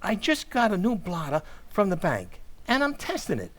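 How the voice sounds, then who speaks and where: A woman's voice: mid-range voice, wildly swooping pitch, normal speed, a little hiss or hum. A woman playing a bumbling, panicky working-class American husband, stammering and blustering in a 1940s radio comedy style. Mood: flustered, panicky.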